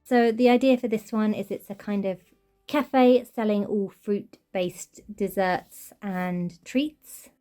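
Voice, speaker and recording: A woman's voice: mid-range voice, slow, mellow, soft background music. A woman hosting her toy collection channel, chatting enthusiastically through a casual show-and-tell, her voice still slightly off from a recent cold. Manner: chatty, enthusiastic, gushing over tiny details. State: slightly off voice while recovering from a cold.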